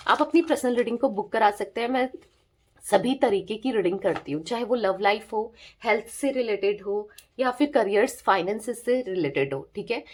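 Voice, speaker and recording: A woman with a sore, hoarse throat giving a casual tarot reading, chatting with listeners and often checking in with them. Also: mid-range voice, a little mellow, slight background noise. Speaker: tarot reader.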